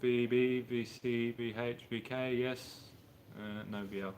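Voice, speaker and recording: Aussie bloke in his 30s chatting slangy and relaxed on a garage livestream, average pitch, faint shop noise. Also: takes it slowly. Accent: Australian. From Brisbane, Australia. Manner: laid-back, rambling, slangy. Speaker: car workshop livestream host. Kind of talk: casual livestream chat answering viewers. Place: home mechanic workshop, car on a hoist.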